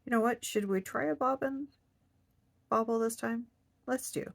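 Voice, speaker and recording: A woman's voice: fairly high pitch, normal speed, vocal fry, no echo. A woman crocheting on camera, a little stuffed up, chatting to herself and counting stitches under her breath. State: stuffed up nose. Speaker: crochet vlogger. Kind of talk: casual hands-on crafting demo.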